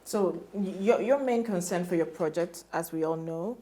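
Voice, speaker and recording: A woman's voice: lowish voice, normal speed, warm tone. A woman hosting a TV interview, affirming and chatty with her guest.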